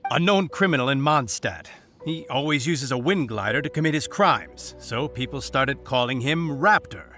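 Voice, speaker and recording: A pompous by-the-book knight. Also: male voice, medium pitch, expressive, moderate pace, pushed and bright. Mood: explaining. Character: stiff, officious young male knight enforcing the law. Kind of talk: game voice acting. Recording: game audio with music behind.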